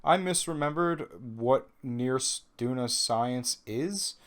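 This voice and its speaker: A man's voice: medium pitch, clear, resonant tone. A man with a Brooklyn accent, a little nasal from a cold, giving chatty, self-deprecating voice-over commentary on his gameplay. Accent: New York (Brooklyn). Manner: chatty, self-deprecating, rambling with ums and restarts. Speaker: gaming video host.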